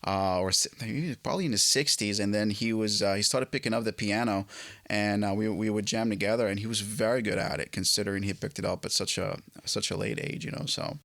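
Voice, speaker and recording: Guest: man from Egypt, late 30s, lowish voice, dry close sound, stop-start delivery. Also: steady tone, creaky, fairly bright tone, dry recording. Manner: halting, many fillers and restarts. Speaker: guest guitarist. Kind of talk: casual interview.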